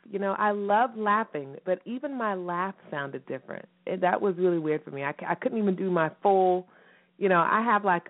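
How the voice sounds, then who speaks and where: Hoarse woman radio host over a phone line. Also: fairly low pitch. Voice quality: raspy. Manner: lively, chatty, folksy. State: hoarse, recovering from a cough. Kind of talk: casual radio chat.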